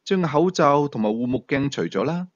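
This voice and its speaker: A male storyteller acting out a police team leader with a Hong Kong Cantonese accent. The delivery is probing, teasing and authoritative, with lively swings in pitch. It is an audio thriller with slightly muffled sound. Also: moderate pace, no room sound, lightly acted.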